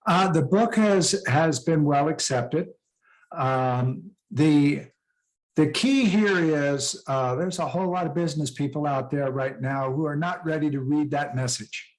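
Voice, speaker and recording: A man's voice: a little high, a little slow, poor audio. A middle-aged American man, a guest author and business coach, talking casually in an interview with many fillers and restarts over a video call. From USA.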